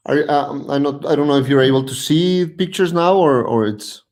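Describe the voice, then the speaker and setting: A man from Ecuador, a guest giving a halting presentation over a video call. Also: a little high, darkish tone. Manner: halting, searching for words. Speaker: guest, tourism representative.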